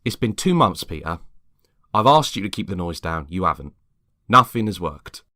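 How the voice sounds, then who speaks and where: A man's voice: low voice, sing-song, moderate pace, bright, forceful tone, no echo, clean studio sound, exaggerated voice. A man playing an exhausted, irate neighbour at breaking point in a dramatised story reading, complaining emphatically. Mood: aggrieved.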